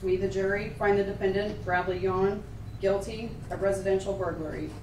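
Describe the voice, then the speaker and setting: A woman working as a court clerk, reading formal verdicts and polling questions aloud in a measured, official way. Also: mid-range voice, steady tone. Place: indoor courtroom. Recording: roomy, distant courtroom pickup with clear noise.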